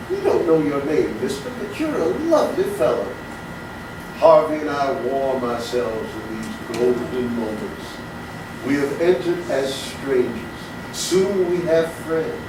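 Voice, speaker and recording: Male actor as gentle eccentric gentleman barfly, slightly high voice, genteel stage storytelling, very reverberant distant pickup. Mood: dreamy, courtly. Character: gentle, courtly, dreamy male barfly with an imaginary rabbit friend. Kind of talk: theatrical comedy monologue. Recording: distant, very echoey, noisy stage recording.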